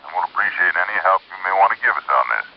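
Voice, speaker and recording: Very deep, husky folksy police lieutenant. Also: male voice. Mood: courteous, folksy. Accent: American. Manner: folksy, measured. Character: folksy, dutiful male police lieutenant, plainspoken and courteous. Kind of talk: 1950s radio drama acting. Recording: muffled old radio recording.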